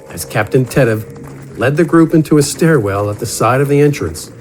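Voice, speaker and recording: A man narrating a scripted fantasy story in a dramatic, deliberate storytelling manner. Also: mid-range voice, darkish tone.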